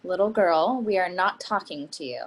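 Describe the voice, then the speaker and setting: A woman's voice: on the low side, normal speed, close to an everyday voice. A woman acting a suspicious, snobbish middle-aged mother in a play reading, cutting and condescending. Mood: icy hostile.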